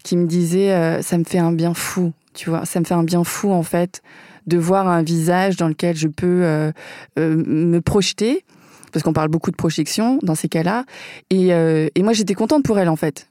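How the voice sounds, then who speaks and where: A woman in her thirties in a casual conversation, speaking thoughtfully and pausing to search for words. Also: lowish voice, steady tone, mellow, no room sound, studio quality. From France. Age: 30s. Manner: thoughtful, many fillers and restarts.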